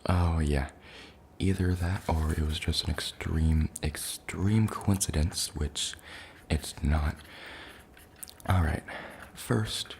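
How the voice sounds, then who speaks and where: Shaken male invasion survivor, played by a man: very low, fry-heavy, soft, halting testimony for ASMR drama. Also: a little hiss or hum, exaggerated voice. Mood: reflective, uneasy. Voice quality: gravelly, creaky low voice. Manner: hesitant, halting, plainly worded recollection.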